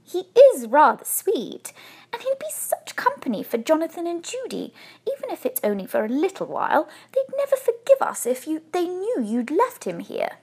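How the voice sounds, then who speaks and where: A woman voicing a kind, excitable and persuasive wife in a children's storybook, in an exclaiming, appealing manner. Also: extremely high pitch, normal speed, voice pushed up, heavily acted. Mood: pleading, firm.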